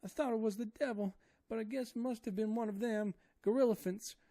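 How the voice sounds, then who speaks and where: A man voicing a terrified older woman servant who wails in a shrill, keening voice with old rural dialect speech. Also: fairly even intonation, brisk, mellow, natural voice. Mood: wailing.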